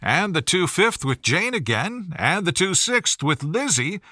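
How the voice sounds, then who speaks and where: A man voicing an excitable, gossipy middle-aged wife and mother in a storybook reading, effusive and exclamatory as she rattles on. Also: high voice, measured pace, dry recording, studio quality, voice pushed up, exaggerated voice. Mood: gushing, excited.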